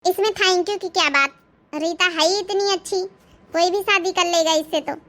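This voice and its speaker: A woman voicing a bold, protective young daughter-in-law in a cartoon comedy, speaking briskly and assertively. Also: very high-pitched, a bit bright. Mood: friendly.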